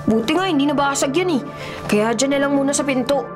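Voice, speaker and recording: A woman acts out a small boy in a radio horror play, his voice high and childlike. He sounds earnest, curious and easily scared, pleading like a kid, with faint music underneath. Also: quick pace, close to an everyday voice. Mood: matter-of-fact. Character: frightened, curious young boy who loves sweets. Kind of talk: radio horror drama acting. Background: background music.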